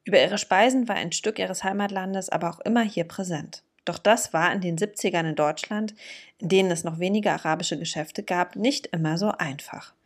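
A woman hosting a cooking interview podcast, reading a scripted intro and then asking slow questions with fillers. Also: on the low side. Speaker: podcast host.

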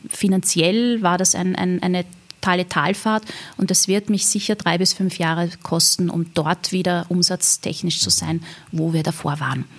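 A woman's voice: lowish voice, fairly even intonation, moderate pace. A woman, an interview guest who runs an event agency, speaking in an earnest, reflective way with frequent fillers. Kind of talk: interview conversation.

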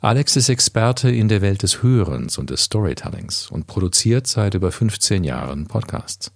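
A Canadian man who learned English first, speaking German as a coach and podcast host in a measured, reflective way. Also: fairly low pitch, sing-song, vocal fry, dry recording, studio quality. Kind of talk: scripted intro then interview answers.

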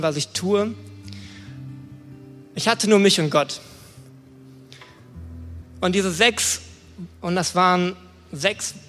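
The young man you'd hear onstage at a youth church service, colloquial and halting, voice ringing through the hall over soft music. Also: high voice. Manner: colloquial, hesitant, groping for words. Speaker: preacher. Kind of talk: informal youth sermon with personal testimony. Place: large room, church service on stage. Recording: echoey hall, music behind.

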